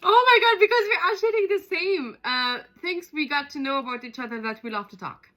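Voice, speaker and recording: A woman whose first language is Arabic, co-hosting a casual podcast chat in simple English and pausing to search for words. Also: high voice, lively intonation, bright, forceful tone. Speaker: podcast co-host.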